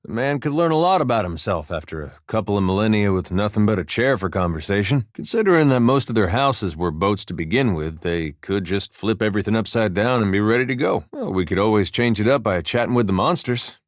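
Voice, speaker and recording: A man performs a laid-back, wry farmer adventurer from a fantasy video game. His voice sits fairly low and he talks in a folksy drawl with dry humor. The recording is very dry, with no room sound. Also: expressive, brisk, hardly any pauses, dry recording, natural voice. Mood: dry, amused. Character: easygoing, wry farmer and war veteran adventurer, male. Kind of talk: video game character dialogue.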